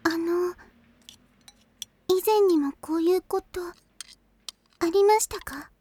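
A woman's voice: very high voice, takes it slowly, warm tone, slight background noise. A woman playing a sweet, timid, devoted teenage girl in an anime audio drama, polite and easily flustered. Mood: shy, curious.

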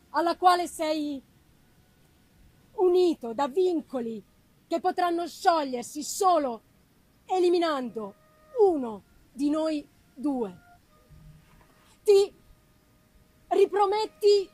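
A wretched, created giant from a gothic tale speaks through a woman reader. The delivery is drawn out and deliberate, broken into short phrases. It is bitter and pleading, with a threat beneath it. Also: very high voice, mellow, dry recording, heavily acted. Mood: bitter, sorrowful, then menacing, reproachful. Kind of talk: dramatic audiobook-style reading of a gothic novel.

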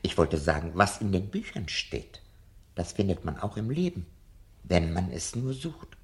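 An actor playing a fearful, sardonic old professor in a German radio drama, speaking in eloquent, theatrical declamation. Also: male voice, sits low, sing-song, unhurried, a little mellow, heavily acted. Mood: wistful, gentle. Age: older.

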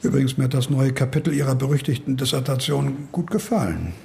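Older professor for radio drama, played by a man: gravelly, blunt, wry mentor, teasing but fatherly. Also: mid-range voice, fast, a little mellow, a little hiss or hum, natural voice. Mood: wry, lecturing. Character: older gruff professor, teasing, paternal, fond of a drink. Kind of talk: naturalistic radio drama dialogue.

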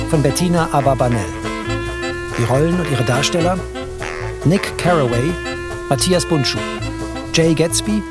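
Male credits announcer for radio drama: formal, clipped, fairly high voice over prominent swing music. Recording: loud swing music behind.

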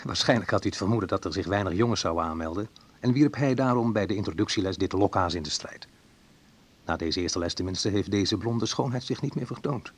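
A man's voice: low-pitched, fairly quick, breathy edge. An actor playing a wistful, reflective man who recalls awkward teenage love in an intimate radio play monologue.

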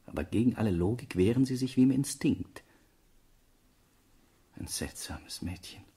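A dry, serious young scholar. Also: male voice, fairly low pitch, lively intonation, normal speed, warm tone, husky. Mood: brooding. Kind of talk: German radio drama acting.

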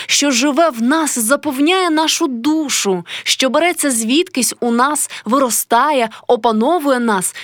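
A woman's voice: high voice, dry recording, clean studio sound, voice pushed up. A woman voicing a passionate, proud young female artist with an intense, dramatic delivery, as in an audiobook story.

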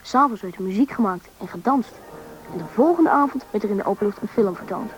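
A woman's voice: mid-range voice, lively intonation, fast talker, faint music behind, lightly acted. A woman playing a young storyteller who narrates a rescue adventure in a scripted radio play. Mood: narrating. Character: child companion telling the rescue story looking back. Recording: dull old broadcast, faint hiss.

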